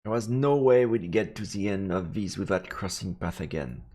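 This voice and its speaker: A man's voice: sits low, expressive, moderate pace, warm tone. A man reading visual novel narration aloud as he streams a let's play. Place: indoors, streaming setup. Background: faint game music.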